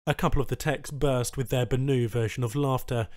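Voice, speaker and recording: A male narrator reads science fiction prose in a medium-pitched voice. It is scripted audiobook storytelling, and the recording is very dry, with no room sound around the voice. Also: steady tone, fairly quick, darkish tone, no room sound.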